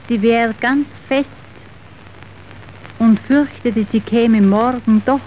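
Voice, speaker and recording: An actress playing an earnest, apprehensive young woman in a radio drama, grave and deliberate in delivery. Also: a little high, a little mellow. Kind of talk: scripted radio drama acting. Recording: dull, faintly hissy old radio recording.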